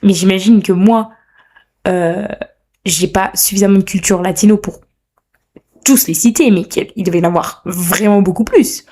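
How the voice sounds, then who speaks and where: A woman's voice: medium pitch, moderate pace, mellow. A young woman hosting a casual solo podcast, chatty, playful and self-mocking, with a slightly sore throat. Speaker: podcast host. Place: room at home.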